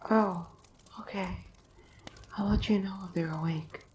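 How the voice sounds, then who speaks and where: A woman voicing a timid, gentle, worried male ghost in an audio drama, hesitant and soft-spoken. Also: medium pitch, fairly even intonation, a little slow, lightly acted. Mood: meek. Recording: faint background noise.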